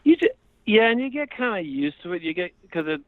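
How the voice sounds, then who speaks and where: Guest actor in his fifties calling in, self-mocking and wry, slightly high voice. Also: sing-song, fairly quick, no echo. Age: 50s. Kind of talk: casual podcast chat by phone. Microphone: phone. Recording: muffled phone line.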